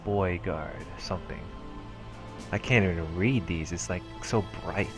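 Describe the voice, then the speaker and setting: A man's voice: low voice, a little slow. A man hosting a let's play, reading game text aloud with casual commentary and occasional stumbles. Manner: stumbles and repeats words while reading. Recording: game music behind the voice.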